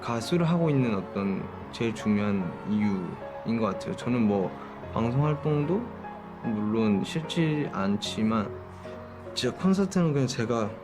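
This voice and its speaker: Man, interview clip, sincere, rapid-fire, music underneath. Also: medium pitch, lively intonation. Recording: archive clip with background music.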